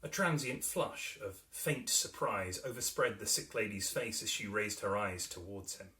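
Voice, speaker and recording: A man narrating a classic novel as a dramatic reading, theatrical and expressive, with carefully shaped phrasing. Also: on the low side, moderate pace, far from the mic. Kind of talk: dramatic literary audiobook narration.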